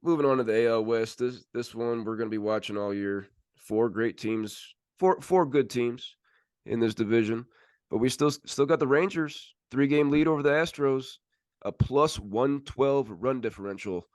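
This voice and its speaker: A man's voice: medium pitch, normal speed, a little mellow, no room sound, studio quality. A man hosting a sports podcast, giving casual commentary as he runs through standings.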